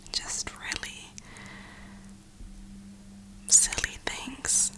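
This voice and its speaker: Slow, whispering woman in ASMR style. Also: low-quality recording. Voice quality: breathy, unvoiced whisper. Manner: reflective, unhurried, with drawn-out pauses. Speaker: ASMR video creator.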